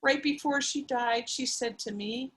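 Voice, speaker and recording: A woman reading a story aloud with theatrical, breathless melodrama. Her voice sits high, and she plays the lead and narrates over a video call. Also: a little slow, a bit bright.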